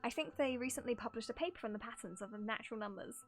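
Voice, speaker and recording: A woman's voice: a little high, quick pace, natural voice. A woman voicing a shy, smitten young student from a game story, nervous and pleading.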